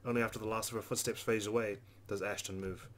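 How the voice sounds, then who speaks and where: A man with a fairly low voice reads a visual novel aloud as host of a let's play. He narrates the story expressively and drops in casual asides. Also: level tone, quick pace, fairly bright tone, slight background noise.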